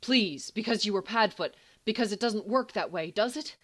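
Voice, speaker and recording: A woman voicing an earnest, flustered, irritable teenage boy in audiobook dialogue, with halting delivery. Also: medium pitch, lightly acted. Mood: distraught. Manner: halting, stammering.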